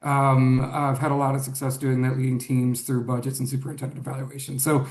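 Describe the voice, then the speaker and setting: A man presenting an education webinar, a little hoarse, earnest, with frequent ums and restarts, speaking over a video call. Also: medium pitch, flat delivery, brisk, a little mellow, reverberant.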